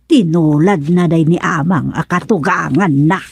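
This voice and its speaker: A woman's voice: low-pitched, measured pace, creaky, darkish tone, husky, dry recording, heavily acted. A woman playing a grumbling, bossy older wife in an Ilocano radio comedy-drama, with an Ilocano accent. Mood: dismayed.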